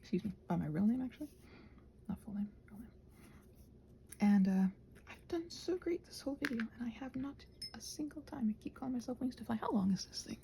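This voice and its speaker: A soft-spoken woman in a casual, rambling chat while she crafts, meandering and interrupting herself as she murmurs along. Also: a little high, animated, a little fast, creaky, slight background noise. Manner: meandering, digressive, self-interrupting. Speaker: craft vlogger and audio creator.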